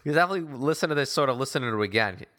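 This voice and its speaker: A man's voice: medium pitch, animated, very fast, fairly bright tone. Man giving emphatic, opinionated sports talk. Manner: emphatic, rambling, sarcastic asides. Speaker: sports talk show host. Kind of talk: opinionated basketball talk commentary.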